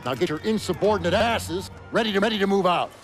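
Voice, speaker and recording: An actor playing a stern, proud American army commander in a war game, addressing soldiers with authority. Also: male voice, high-pitched, lively intonation, quick pace, vocal fry, faint music behind. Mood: reprimanding. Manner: authoritative, scolding. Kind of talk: dramatic video game acting.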